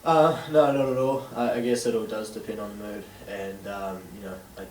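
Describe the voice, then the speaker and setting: A young man in his late teens with a New Zealand accent, answering interview questions haltingly with many fillers. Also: lowish voice, steady tone, noisy background. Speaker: interviewee, young DJ and event organiser. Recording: distant roomy camera sound with faint ambience.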